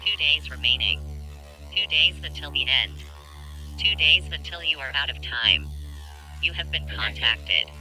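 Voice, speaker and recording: A menacing, machine-like caller acted by a man speaks very slowly and deliberately over music. The voice is piercingly bright and fairly high, made for an ominous audio drama countdown. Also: lively intonation, heavily acted, low-quality recording. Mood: ominous, measured. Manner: slow, deliberate, menacing pronouncements.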